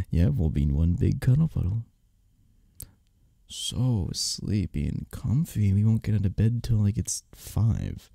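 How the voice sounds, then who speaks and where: Man acting as drowsy, cuddly husband, dark low voice. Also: very animated, creaky, slightly rough, no echo, studio quality, full character voice. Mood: tender, playful. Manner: soft, drowsy, teasing, unhurried. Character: sleepy, cuddly, playfully affectionate husband in bed. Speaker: ASMR roleplay performer. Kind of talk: intimate ASMR boyfriend-style roleplay. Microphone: close, dry microphone.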